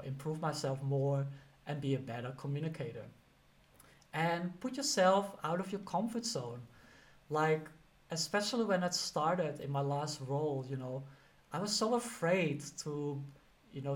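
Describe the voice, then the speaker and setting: A man with a Dutch accent, a teacher giving motivational career advice in a loose, conversational way, full of "you know" and "like". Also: a little high.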